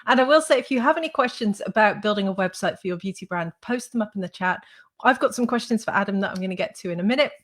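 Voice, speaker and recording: A woman's voice: mid-range voice, quick pace. Upbeat woman hosting a video call. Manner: upbeat, chatty, welcoming. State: slightly hoarse from a cold. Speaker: host and school founder. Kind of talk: live-stream interview. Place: home room with shelves. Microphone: webcam on video call.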